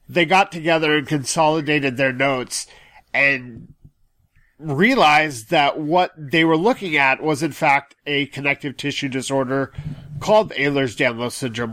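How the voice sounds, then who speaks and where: A man in his thirties, a podcast guest, who explains things in a measured way with pauses and fillers. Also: fairly high pitch, bright, forceful tone, no room sound, poor audio. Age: 30s. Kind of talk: casual explanation.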